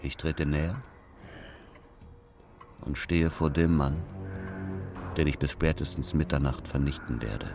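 An actor playing a menacing first-person narrator in a radio drama, measured and coolly ominous. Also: male voice, a bass voice, steady tone, over music. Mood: ominous, controlled.

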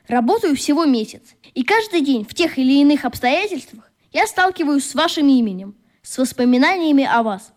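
Earnest, high-pitched stubborn schoolboy. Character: earnest, stubborn schoolboy Seryozha, loyal young troop commander. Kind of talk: radio play acting.

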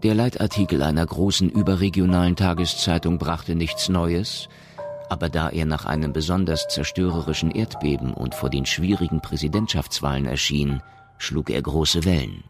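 A man's voice: very deep voice, moderate pace. An actor narrating a science fiction radio drama as a composed male storyteller, reading scripted prose. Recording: studio narration with faint music. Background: faint music.

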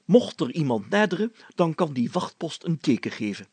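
A man voicing an excitable, self-important village mayor in a storybook, chatty, pompous and full of exclamations. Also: fairly high pitch, normal speed, husky, dry recording. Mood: blustering, self-assured.

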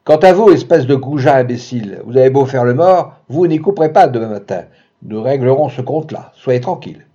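A man's voice: lowish voice, big pitch swings, normal speed, gravelly, dull audio, exaggerated voice. A man voicing a raging, bellowing jealous husband. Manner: shouting, blustering. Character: furious jealous man, loud and insulting.

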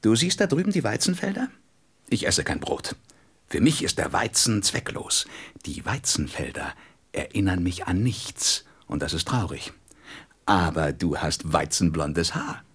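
An actor playing a gentle, wise and wistful fox in a radio play, speaking tenderly and deliberately and often dropping into whispers. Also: male voice, sits low, heavily acted. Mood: wistful longing. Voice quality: husky, breathy voice that drops into whispers. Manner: deliberate, expressive, tender storybook delivery.

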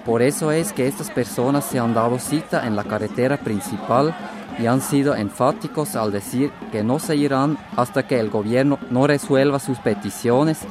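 An actor playing an earnest radio field reporter, delivering a news report in a radio drama. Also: male voice, medium pitch, moderate pace, lightly acted. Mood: serious, reporting. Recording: crowd noise outdoors behind.

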